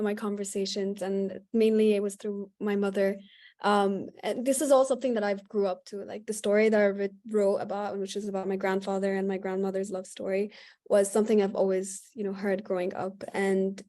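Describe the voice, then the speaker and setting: A woman's voice: medium pitch, little pitch movement, moderate pace, warm tone. Young woman, reflective and casual. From Qatar. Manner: casual, reflective, many fillers. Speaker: workshop writer. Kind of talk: online panel answer.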